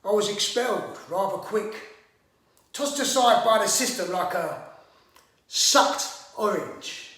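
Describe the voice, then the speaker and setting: A man's voice: sits high, expressive, takes it slowly, a bit bright, heavily acted. A man performing a theatrical monologue as a hot-tempered, swaggering brawler with mock-grand, sweary speech, in an echoey room. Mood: swaggering, storytelling. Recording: echoey room, distant microphone.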